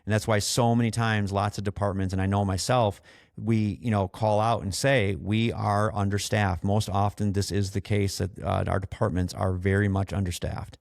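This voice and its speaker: A man hosting a podcast as an experienced firefighter, explaining things earnestly in a conversational, halting way with repeated and restarted phrases. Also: fairly low pitch, flat delivery, creaky, a little mellow, no echo, clean studio sound.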